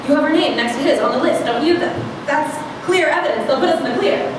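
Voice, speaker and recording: A woman plays a scared, indignant teenage girl in a stage play. The voice is high and animated, agitated and foul-mouthed. It echoes heavily from a distant microphone in a noisy room. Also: fast talker, natural voice. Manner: agitated, profane. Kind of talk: staged script reading.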